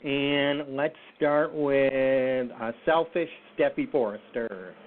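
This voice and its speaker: A man's voice: mid-range voice, slow. A man from upstate New York hosting a casual talk show, easygoing as he steers the talk. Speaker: host. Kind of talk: casual chat. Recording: phone-like call audio.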